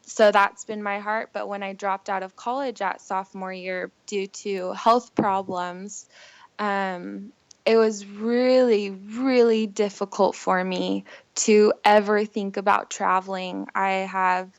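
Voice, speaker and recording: A woman's voice: mid-range voice, little pitch movement, slow pace, no room sound, highs cut off. A young American woman telling her personal story earnestly and from the heart as a podcast guest. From Costa Rica and Chile until six, then the US. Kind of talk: personal storytelling, casual conversation.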